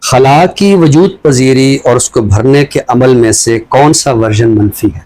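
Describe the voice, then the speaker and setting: Male, Pakistani accent, mid-pitched voice, literary presenter with measured phrasing. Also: darkish tone, low-quality recording. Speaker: literary show presenter reciting a poem and introducing a book. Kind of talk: poetry recital and literary talk.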